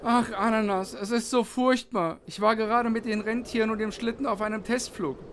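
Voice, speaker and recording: Children's audio play: actor for kindly old Santa, high-voiced, fretful, sighing, noticeable background noise. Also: male voice, fairly even intonation, normal speed, fairly bright tone. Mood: worried. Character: worried, kindly old male Santa Claus.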